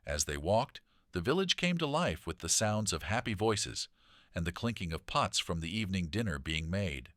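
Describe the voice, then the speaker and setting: Low-voiced male children's storyteller. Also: very animated, moderate pace, fairly bright tone, no echo, clean studio sound. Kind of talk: scripted children's bedtime story narration.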